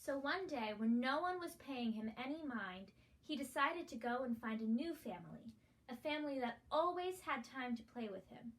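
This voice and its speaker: A woman's voice: high-pitched, lively intonation, normal speed, low-quality recording. A female guest reader reading a children's picture book aloud.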